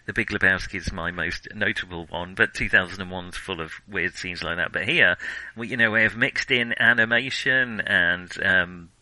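A man's voice: sits low, normal speed, bright, forceful tone, rough recording. A man co-hosting a film podcast in casual discussion, thinking aloud with hesitant self-corrections.

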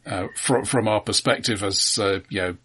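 A man co-hosting a casual film podcast, talking in a measured, analytical and somewhat hesitant way. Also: on the low side, fairly bright tone, husky. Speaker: podcast co-host.

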